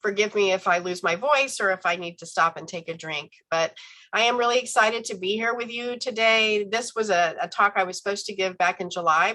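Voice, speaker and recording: A woman's voice: mid-range voice, sharp tone, low-quality recording. A woman professor giving an online lecture while stuffy and congested from a head cold, speaking conversationally with ums and self-corrections. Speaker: university professor giving a talk.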